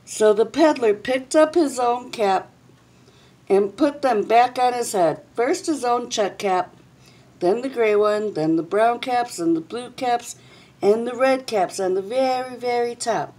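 A middle-aged woman reading a children's story aloud expressively, with dramatic pauses and drawn-out words. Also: on the high side, warm tone. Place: small indoor room.

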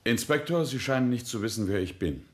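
An actor playing a self-important, defensive middle-aged industrialist and head of a family in a radio play. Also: male voice, mid-range voice, lively intonation, fairly quick, lightly acted. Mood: indignant, proud.

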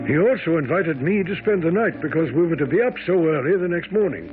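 An actor playing a genial Victorian gentleman companion in a radio drama, with expressive, old-fashioned storytelling diction. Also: on the high side, quick pace, over music, natural voice. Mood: narrating. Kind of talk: radio drama narration and dialogue. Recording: tinny, narrow-band vintage radio broadcast.

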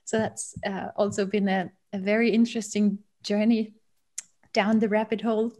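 A woman's voice: medium pitch, little pitch movement, normal speed, darkish tone. A woman in her thirties, an author and guide, talking casually about her experiences on a video call from a room at home. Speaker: author and tour guide, webinar guest.

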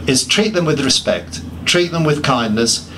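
A man's voice: a little high, expressive, a little slow, bright, forceful tone, a little hiss or hum. A man giving a public talk, listing points emphatically and often restarting his sentences with self-deprecating asides. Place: pub function room.

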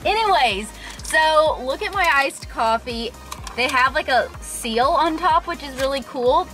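A woman's voice: high voice, pushed and bright. A young woman chatting upbeat to a handheld camera in a car and outdoors, as a travel vlogger. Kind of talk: casual travel vlog. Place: parked car, then outdoors on a pier and bridge. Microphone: handheld camera at arm's length.

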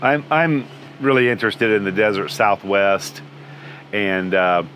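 A man's voice: lowish voice, measured pace, fairly bright tone, a little hiss or hum. A man in his sixties, interviewed outdoors beside a travel trailer, answering in a friendly, rambling way with many restarts.

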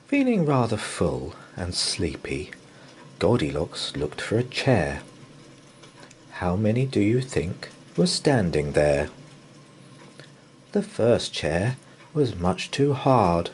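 A man reading a picture book aloud as a bedtime story, in an expressive, sing-song manner. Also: low voice, takes it slowly, pauses often, slight background noise. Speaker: children's story reader. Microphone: camera above a picture book.

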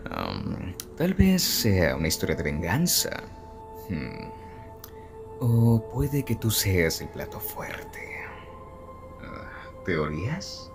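A deranged villain behind bars in a film dub. He taunts at a very slow pace, in a low voice that swoops wildly from murmurs to giggling cackles, over loud music. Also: huge pitch swings, creaky, heavily acted. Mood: needling. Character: deranged male prison inmate, mocking, giggling villain. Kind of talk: Spanish fan dub film acting.

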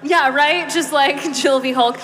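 Female panelist, bright high register, informal convention talk, echoey hall. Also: unhurried. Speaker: panelist, video game voice actor. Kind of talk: casual convention panel chat. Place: on stage in a large hall at a convention. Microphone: handheld microphone.

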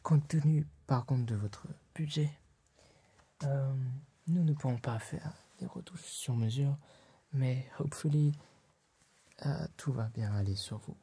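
A young man performing a soft-spoken, partly whispered ASMR roleplay as a polite, formal gentleman tailor, hesitant and courteous. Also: mid-range voice, measured pace. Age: young adult. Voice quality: breathy, whispery.

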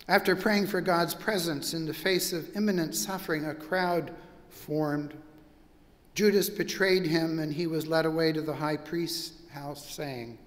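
An older man, a pastor, preaching a measured, reflective sermon with long pauses in a large church sanctuary. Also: fairly high pitch, in a large hall.

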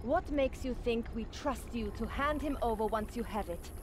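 An earnest young game heroine acted by a woman with a high voice. She is wary and a little formal in her wording, heard over clear ambient noise. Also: normal speed, natural voice. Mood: alarmed, wary. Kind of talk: video game voice acting.

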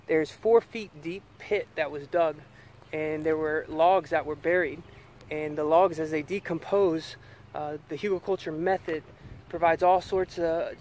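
An American man in his thirties giving a casual, explanatory farm tour, speaking into a camera held at arm's length outdoors. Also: fairly high pitch. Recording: outdoor ambient noise.

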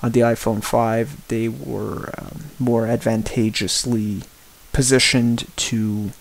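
Deliberate man giving an opinion review. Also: mid-range voice, fairly even intonation, gravelly. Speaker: product reviewer. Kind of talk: spoken personal-opinion tech review over a screen image.

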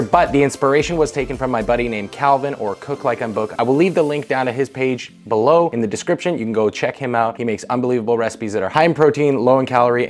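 Upbeat jokey guy cooking. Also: mid-range voice. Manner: upbeat, jokey, talks to viewers while cooking. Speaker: fitness vlogger. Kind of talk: casual cooking vlog talk.

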